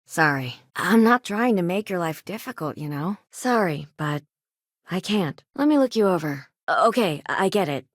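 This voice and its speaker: An actress playing a principled, caring but firm frontier doctor in a science-fiction video game, speaking in a wry, matter-of-fact way. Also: female voice, on the low side, lively intonation, normal speed, dry recording, studio quality. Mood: apologetic, measured. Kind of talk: naturalistic video game dialogue acting.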